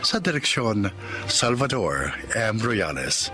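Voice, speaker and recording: A man's voice: lowish voice, very animated, slow pace. A man announcing a radio drama in a solemn, declamatory, scripted style. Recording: loud music behind the voice.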